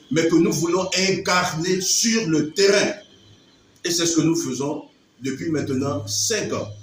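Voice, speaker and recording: A man, leading a political movement, giving an emphatic public speech with measured pauses. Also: fairly high pitch, a little hiss or hum. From Gabon. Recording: echoey, distant mic with faint ambience.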